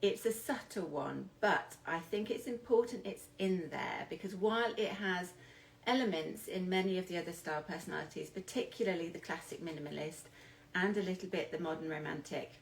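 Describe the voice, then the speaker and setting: A woman's voice: on the low side, brisk, vocal fry, low-quality recording. A British woman giving an informal style lesson as a coach, speaking hesitantly with self-deprecating, apologetic asides. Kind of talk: informal instructional talk.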